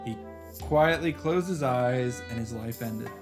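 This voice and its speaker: Male let's play host. Medium pitch, expressive story reading with casual asides, clear music underneath. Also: a little slow. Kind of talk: dramatic read-aloud narration with asides.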